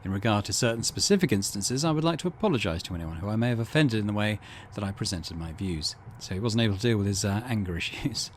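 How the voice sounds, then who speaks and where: A man presents a gaming let's play, reading aloud and musing casually. His voice sits a little low but swings expressively. There is faint noise and ambience behind him. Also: lively intonation, quick pace, hardly any pauses. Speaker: gaming channel host.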